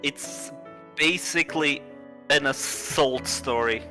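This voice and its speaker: Slow-paced streamer over loud music. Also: mid-range voice. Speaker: streamer playing and voicing a visual novel. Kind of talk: livestream game narration, read aloud.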